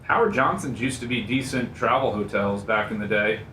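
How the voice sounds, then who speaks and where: A man's voice: on the low side, moderate pace, bright, forceful tone. A man on a radio show crew, talking casually in on-air banter. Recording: roomy, off-mic, faint background noise.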